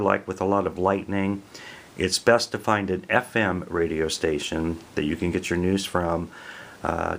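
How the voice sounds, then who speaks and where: An American man giving a casual product review, explaining things in a plain, unhurried way. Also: deep voice, fairly bright tone. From American Midwest.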